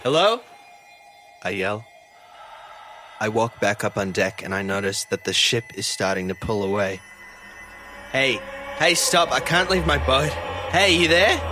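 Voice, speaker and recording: A man playing an earnest, bewildered fisheries officer who narrates his own story in an audio drama. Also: lowish voice. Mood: urgent, bewildered, then tense, recounting.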